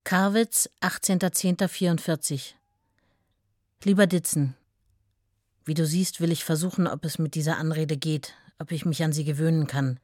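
Radio play: estranged wife, low and restrained, earnest letter reading, dry close mic. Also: female voice, fairly even intonation, moderate pace, darkish tone, dry recording.

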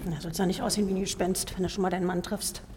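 A woman's voice: on the low side, level tone, very fast, background noise, close to an everyday voice. A woman playing a curt but kind prison warder in a radio drama, matter-of-fact in manner. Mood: dry, kindly. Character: brisk female prison warder with a kind streak.

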